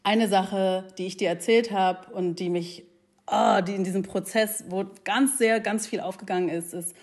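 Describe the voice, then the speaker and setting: A woman in her forties talking reflectively, often pausing with fillers as she searches for words and mixing in English phrases. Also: mid-range voice, steady tone, darkish tone. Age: 40s. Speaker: guest. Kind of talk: casual conversation.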